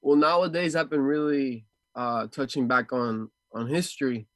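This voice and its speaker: A man with a mid-pitched voice talks in a casual, reflective way, like a fighter being interviewed. He feels his way through sentences, repeating words as he searches for the next one. The sound is dry, with very little room in it. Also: steady tone, moderate pace, fairly bright tone, no room sound. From Ecuador and Houston, USA. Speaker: martial arts fighter, interview guest.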